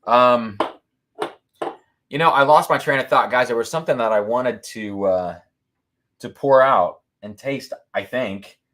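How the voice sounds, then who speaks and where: A man hosting a whiskey-tasting livestream, talking loudly and excitedly, laughing often and reading viewer comments aloud into a boom mic. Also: measured pace. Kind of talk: casual live-stream chat. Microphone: boom mic moved back from mouth.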